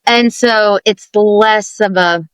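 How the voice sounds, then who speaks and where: A young woman from Alabama, a guest in an interview, speaking thoughtfully and gently while searching for her words. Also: mid-range voice, slow pace, clear, resonant tone, no room sound, poor audio. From Alabama, USA. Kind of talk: casual interview conversation.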